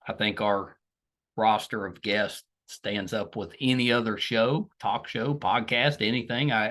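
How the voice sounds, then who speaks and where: A man's voice: fairly low pitch, fairly bright tone. A middle-aged American man speaking as a podcast host, casually and earnestly thanking and appealing to his audience in a chatty way. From United States.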